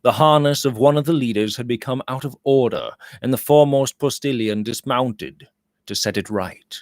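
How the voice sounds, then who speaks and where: A man narrating a literary novel as an audiobook reader, with deliberate, expressive phrasing. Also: mid-range voice, no room sound, clean studio sound.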